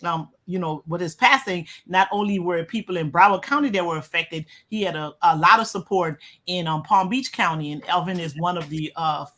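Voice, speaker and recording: A woman's voice: lowish voice, normal speed, few pauses, fairly bright tone. A woman co-hosting a political talk show whose first language is not English, speaking in a rambling, conversational way. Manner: rambling, many fillers and restarts. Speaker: co-host.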